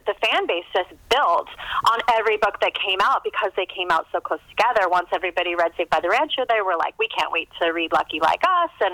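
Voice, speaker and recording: A woman with a bright, clear-edged voice speaks as an interview guest. The sound is dry and close, and she talks in a casual storytelling style. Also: mid-range voice, no echo, rough recording.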